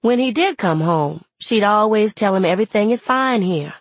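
A woman's voice: mid-range voice, animated, moderate pace, husky, no room sound. A woman playing a plainspoken, wry, resentful young woman, telling her story in conversational dialect for an audiobook. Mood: wry, aggrieved. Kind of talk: first-person audiobook narration in character.

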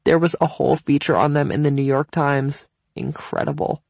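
A man's voice: fairly high pitch, steady tone, moderate pace, vocal fry, darkish tone, no echo, pitched above the performer's own voice. A man voicing a gracious middle-aged suburban mother in an audiobook, chatty, effusive and sociable. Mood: chatty, pleased.

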